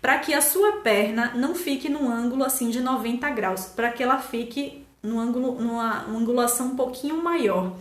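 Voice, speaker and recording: A woman's voice: fairly high pitch, normal speed, few pauses, reverberant. A woman from Salvador with a Bahian accent, a nutritionist chatting on a live stream, explaining casually with many fillers. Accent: Bahian Portuguese. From Salvador, Brazil. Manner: explanatory, hesitant with fillers, laughs easily. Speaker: nutritionist host.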